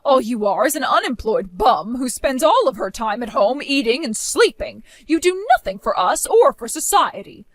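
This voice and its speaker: A woman voicing a stern, belittling middle-aged mother in an animated story, with a cold, scolding manner. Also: high-pitched, moderate pace, hardly any pauses. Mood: contemptuous.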